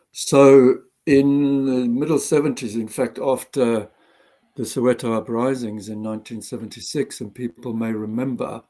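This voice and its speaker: Gravelly older South African man. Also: mid-range voice, warm tone. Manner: measured, reflective, frequent ums and restarts. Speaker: guest. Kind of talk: interview, personal history.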